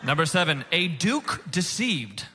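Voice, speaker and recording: Man, high voice, emphatic game show host, comic patter, noisy live stage recording. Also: big pitch swings, unhurried, bright, forceful tone. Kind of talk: comedy game show hosting before a live audience. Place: stage before a live audience.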